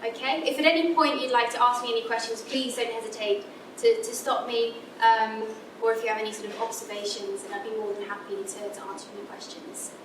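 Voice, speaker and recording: A friendly woman academic with a southern English accent talks students through her career, hesitating with ums as she goes. Her slightly high voice carries from a distance in an echoey lecture hall. A low rumble sits clearly underneath. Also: fairly even intonation, brisk, hardly any pauses. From Scotland. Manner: friendly, chatty, many ums and restarts. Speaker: guest speaker, academic physicist. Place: lecture room. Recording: echoey lecture room from far off, background rumble.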